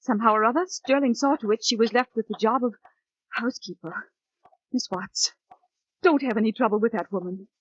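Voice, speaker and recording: An actress playing a frightened, earnest schoolgirl, urgent and halting, in 1940s radio style. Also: a little high, slightly rough, no echo, lo-fi sound. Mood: urgent, hushed. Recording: thin, dry 1940s radio recording.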